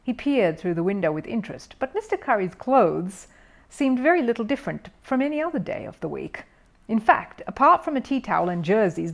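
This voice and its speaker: A woman's voice: mid-range voice, creaky. A woman reading a children's story aloud as scripted narration.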